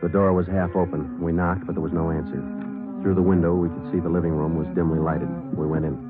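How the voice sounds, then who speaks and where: An American man playing a terse, deadpan police detective sergeant who narrates his case in clipped old radio drama style. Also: deep voice, fast talker, close to an everyday voice. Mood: deadpan narration. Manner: terse, deadpan, matter-of-fact. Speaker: lead actor and narrator. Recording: old lo-fi radio recording with music.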